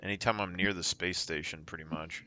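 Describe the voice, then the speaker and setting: Very low-voiced man chatting over a game. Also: steady tone, fairly quick, sharp tone. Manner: easygoing, rambling with fillers. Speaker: streamer. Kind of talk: casual gaming chat. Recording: noisy game audio behind. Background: game sounds and ship ambience.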